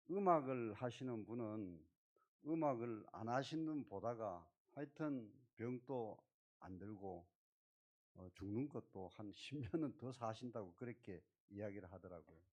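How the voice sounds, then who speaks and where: A middle-aged male church elder gives a halting, modest talk. His voice sits low and soft. The dull, lo-fi recording muffles it. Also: lively intonation. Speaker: guest lay speaker, church elder. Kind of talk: testimony-style talk from the pulpit.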